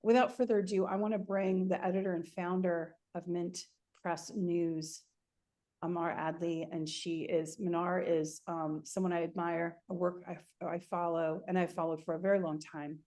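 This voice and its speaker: Woman host introducing a guest, halting and emotional, lowish voice. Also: little pitch movement, mellow. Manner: emotional, halting, many restarts. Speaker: show host. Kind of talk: interview hosting.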